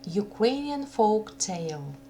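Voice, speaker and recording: A woman reading a folk tale for children in a deliberate, phrase-by-phrase way. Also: medium pitch, sing-song, warm tone. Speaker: storyteller. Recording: noticeable background hum.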